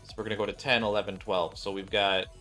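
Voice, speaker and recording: A man's voice: fairly low pitch, level tone, fast, a bit bright, faint music behind. A man reading visual novel game story aloud as a streamer, with casual asides and some stumbles over the lines.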